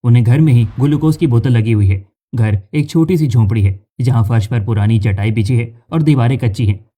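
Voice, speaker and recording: A man narrates a cartoon story from a script. His voice is mellow and dark, at a middling pitch. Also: a little fast.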